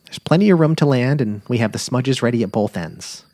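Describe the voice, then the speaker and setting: A man's voice: mid-range voice, animated, a little fast, darkish tone, no echo, voice pushed up, natural voice. A man voicing an affectionate middle-aged wife on safari, eager and tender, in a dramatic literary reading. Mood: assured, affectionate. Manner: cheerful, eager, tender, imploring.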